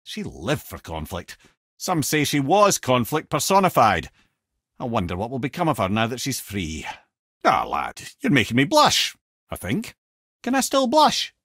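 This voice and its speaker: A Scottish actor voicing a witty, talkative old male sage in a video game, with a husky voice and a wry storyteller's manner. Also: mid-range voice, wildly swooping pitch, unhurried, a bit bright, dry recording, studio quality, full character voice. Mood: explaining, then amused, wry.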